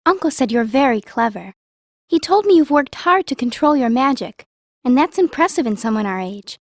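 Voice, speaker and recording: A woman's voice: high voice, expressive, moderate pace, no room sound, clean studio sound. An actress playing a kind, polite young noble girl mage in an audiobook. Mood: encouraging, teasing.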